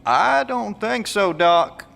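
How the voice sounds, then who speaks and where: A man's voice: high voice, expressive, slow, vocal fry, fairly bright tone, long reverb tail, exaggerated voice. A man playing a plainspoken, practical, good-natured country fellow in an audio drama, with a folksy, informal manner. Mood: uneasy.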